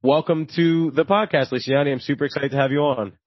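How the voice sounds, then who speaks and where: A man's voice: fairly high pitch, fairly even intonation, fast, no echo, lo-fi sound. A man hosting an interview podcast, upbeat and enthusiastic, with frequent fillers. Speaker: podcast host.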